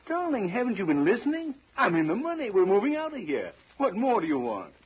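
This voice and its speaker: Breezy gambler husband, highly animated voice. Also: male voice, a little fast, heavily acted. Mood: impatient. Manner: dismissive, smooth-talking. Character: breezy, evasive gentleman gambler, a careless husband. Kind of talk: 1930s radio drama acting. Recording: noisy, dull 1930s radio recording.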